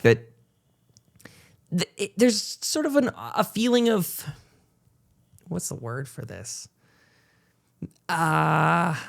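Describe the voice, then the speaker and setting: A man's voice: mid-range voice, gravelly. A man hosting a casual interview podcast, talking in a rambling, reflective way as he thinks aloud. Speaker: podcast host and content creator.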